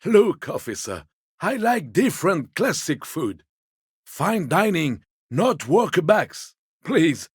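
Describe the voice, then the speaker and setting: An actor playing a fussy, timid old gourmand gentleman in a video game, a husky voice and a polite, hesitant, slightly pompous manner. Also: fairly high pitch, no room sound, clean studio sound, full character voice. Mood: pleading, fussy. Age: older. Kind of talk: video game character dialogue.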